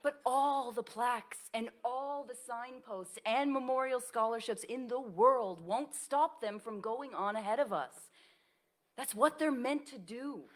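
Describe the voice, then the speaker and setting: A woman's voice: a little high, lightly acted. A woman in a staged play reading, playing an aging nursing professor who tenderly and earnestly reassures her friend.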